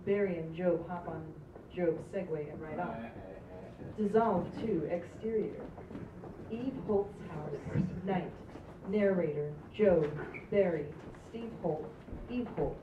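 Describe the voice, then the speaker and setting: A woman's voice: lowish voice, takes it slowly. A woman reading out stage directions at a live script reading. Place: small stage in a room. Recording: distant, echoey, dull recording with clear noise.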